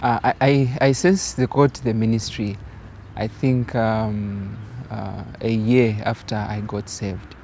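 A man's voice: fairly low pitch, flat delivery, slow, darkish tone, slight background noise. A man answering interview questions outdoors, hesitant and searching for words. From Zambia. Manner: hesitant, searching, many fillers. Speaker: theology student. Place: outdoors by a wall.

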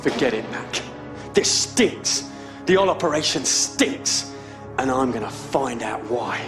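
Actor as a defiant, echoey British cop. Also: male voice, medium pitch, expressive, unhurried, music in the background, close to an everyday voice. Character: defiant, hot-headed maverick police officer. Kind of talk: video game cutscene acting. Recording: echoey room sound.

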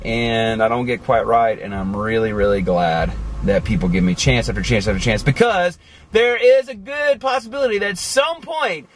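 A man in his forties talking casually to the camera from inside a car, animated and chatty, with many asides. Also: mid-range voice, moderate pace, slight background noise. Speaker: vlogger. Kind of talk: casual motivational talk to camera. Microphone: camera on the dashboard.

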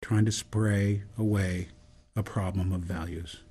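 A man's voice: sits low, steady tone, unhurried, mellow, husky. A man playing a grave, disillusioned activist in a radio drama, solemn and poetic in delivery. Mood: grave, wistful.

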